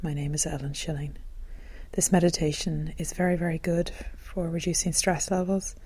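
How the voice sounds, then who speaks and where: A woman leading a guided meditation in a gentle, calm, soothing manner, as a teacher would. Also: low-pitched, normal speed, husky, no echo.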